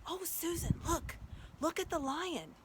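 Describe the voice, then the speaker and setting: A woman voicing an excitable young girl who exclaims eagerly and full of wonder in a storybook. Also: very high-pitched, a little slow, noisy background, pitched above the performer's own voice. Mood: amazed.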